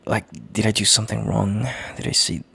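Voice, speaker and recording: A man playing a gentle, worried boyfriend in an intimate audio roleplay, speaking in a halting, tender, hushed way with a gravelly, husky, breathy voice. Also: on the low side, flat delivery, no echo, heavily acted. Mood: anguished, pleading. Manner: halting, tender, hushed, sighing between phrases. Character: gentle worried boyfriend, relieved and heartfelt, on a call.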